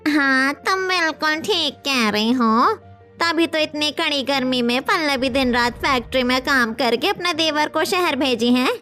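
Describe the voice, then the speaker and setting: A woman's voice: high-pitched, a little slow, pushed and bright, soft background music. A woman voicing an approving, emphatic village neighbour in a story. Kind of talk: scripted story dialogue.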